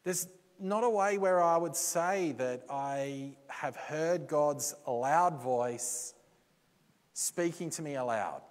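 Slow, deliberate pastor preaching. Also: male voice, on the high side. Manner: slow, deliberate preaching with dramatic pauses and acted-out quotes. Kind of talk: sermon. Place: church. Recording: reverberant church hall.

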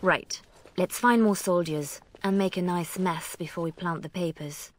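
Lowish-voiced, self-assured young rogue. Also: female voice, measured pace. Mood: businesslike. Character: confident, playful young female rogue bard. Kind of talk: video game voice acting.

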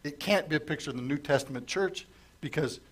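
A man's voice: on the high side, fairly quick. Man preaching with a fading voice. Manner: conversational, asks the congregation questions. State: losing his voice, coughing. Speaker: pastor. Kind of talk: sermon, verse-by-verse Bible teaching. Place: church sanctuary with stage.

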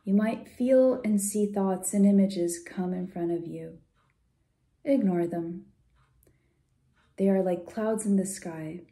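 Woman, guided visualization, very dark relaxed tone, slow pace, creaky, soft, gentle pauses. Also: medium pitch. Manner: soothing, gentle, unhurried with pauses.

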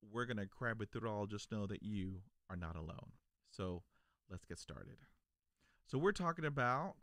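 Guy in his 40s with a stutter, kinda low voice, talking into a podcast mic at home, super dry close sound. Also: fairly bright tone, no room sound. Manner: stutters with repeated sounds, earnest and encouraging. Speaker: vlogger, podcast host. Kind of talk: personal talk to camera. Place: home studio room, indoors. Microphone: large podcast mic on a boom arm.

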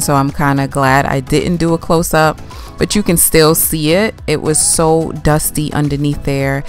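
A young woman casually narrating her home cleaning routine, chatty and explaining each step as she goes. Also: sits low. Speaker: cleaning vlogger. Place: home laundry room.

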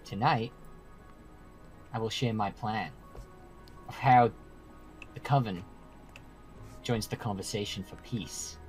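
A man playing an earnest, persistent human emissary in an amateur game roleplay, speaking in a formal, measured, portentous way. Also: fairly low pitch, soft background music, poor audio. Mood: solemn, persuasive. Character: earnest, persistent male mortal emissary with a proposition.

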